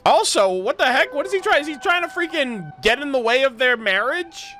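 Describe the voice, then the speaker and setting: A man streaming a video game, reacting in an excitable, joking way full of slang. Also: very high-pitched, a little fast, faint music behind. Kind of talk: casual let's-play commentary.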